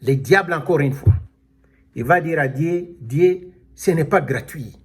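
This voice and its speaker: A man preaching a sermon, slow and emphatic, calling out alleluia as he explains scripture. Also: on the high side, husky. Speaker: pastor.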